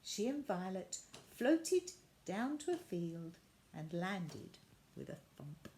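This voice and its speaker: A woman reading a children's story aloud as an expressive storyteller. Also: fairly low pitch, slow pace, slight background noise.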